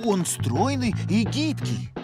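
A man voicing a big, fretful, tender-hearted male creature in a children's cartoon, fussy and expressive. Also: sits high, slow pace, exaggerated voice. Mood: tender, eager. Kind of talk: children's animated series acting. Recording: studio cartoon dialogue under loud music. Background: cartoon music.

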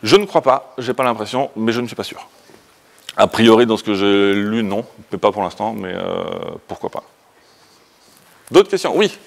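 A man's voice: lowish voice, expressive, normal speed, gravelly. A man in his thirties with a French accent presents in a lecture room, informally and with self-deprecating jokes. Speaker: conference speaker. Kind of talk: informal tech presentation with live demo.

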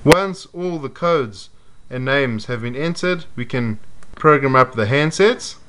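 A man's voice: medium pitch, animated. Man, mid-range voice, methodical how-to narration; faint background hiss. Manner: measured, methodical explaining. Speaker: product demonstrator. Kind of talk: step-by-step instructional voice-over. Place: indoors at a table.

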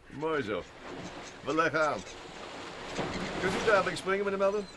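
Actor as an eager professor inventor, animated, a bit high, stammers. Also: male voice, normal speed. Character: excitable middle-aged male professor inventor. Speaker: professor Ben Curtis. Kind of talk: 1960s radio drama acting. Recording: old radio play tape with hiss.

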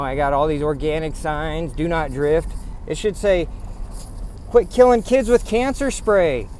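A man's voice: a little high, a little hiss or hum. Indignant man ranting from his truck. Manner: indignant, rambling, repeats himself. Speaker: vlogger. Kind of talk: casual rant to camera. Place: in a pickup truck on farm roads, then outdoors on rural land.

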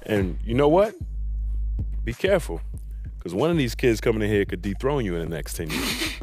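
A middle-aged American actor playing a gruff, teasing veteran high school basketball coach in a video game, with a dry folksy manner. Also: male voice, huge pitch swings, moderate pace, darkish tone, faint music behind. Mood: stern, dry. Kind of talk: naturalistic video game cutscene acting.

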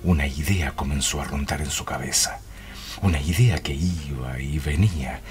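A man's voice: rumbling low, moderate pace. A man narrating a radio drama in a scripted, dramatic storytelling style.

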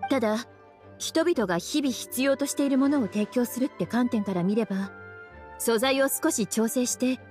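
A woman's voice: on the high side, moderate pace, darkish tone, faint music behind, natural voice. A woman voicing a sultry teasing witch librarian. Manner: languid, teasing, calls herself big sister. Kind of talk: Japanese game dub.

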